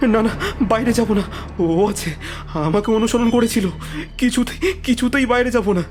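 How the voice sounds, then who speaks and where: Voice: medium pitch, normal speed, natural voice. Actor doing a scared young friend in a horror audio story, nervous and hesitant, music underneath. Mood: panicked. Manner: anxious, fearful, hesitant. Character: terrified young friend trapped in a haunted house.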